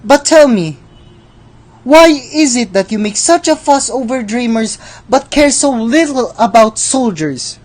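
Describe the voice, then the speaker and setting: A woman playing a slow-talking, sardonic Death. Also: fairly high pitch, expressive, darkish tone. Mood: wry, amused. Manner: slow, deliberate, mocking. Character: Death, sardonic, playful, menacing male personification. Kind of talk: radio drama acting.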